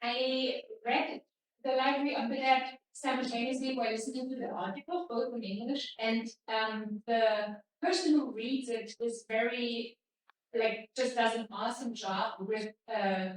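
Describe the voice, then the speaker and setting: Female audience questioner, unscripted, slightly high voice, heavy room echo and distant pickup. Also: steady tone, a little slow, a little hiss or hum. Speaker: audience member asking a question. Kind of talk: spontaneous question at a live event. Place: indoor convention event room. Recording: very echoey hall, distant microphone.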